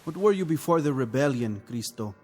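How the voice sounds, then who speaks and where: An actor playing a conscience-stricken revolutionary officer, sardonic and brooding, in a mid-century television drama. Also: male voice, mid-range voice, lively intonation, fairly quick, darkish tone, lightly acted. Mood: bitter, sardonic. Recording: old TV soundtrack, reverberant, music behind.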